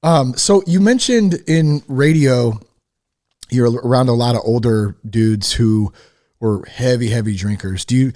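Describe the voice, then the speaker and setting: A man's voice: mid-range voice, unhurried, dark tone. Enthusiastic, informal man hosting a podcast. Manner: informal, affirming, enthusiastic, slangy. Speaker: podcast host. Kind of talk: casual interview chat.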